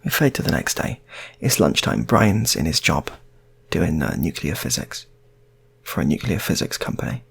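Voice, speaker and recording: A man's voice: a bass voice, sing-song, moderate pace, vocal fry, slight background noise. A man telling an improvised story in a casual, rambling way, often repeating his phrases. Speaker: storyteller, channel host.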